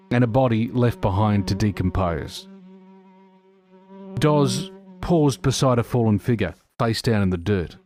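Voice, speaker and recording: A man narrating a scripted audio drama in a deliberate, dramatic manner. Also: medium pitch, a little mellow.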